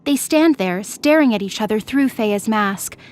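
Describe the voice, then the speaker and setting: A woman's voice: medium pitch, expressive, a little slow, a little mellow. A woman narrator reading scripted, dramatic fiction for an audio drama.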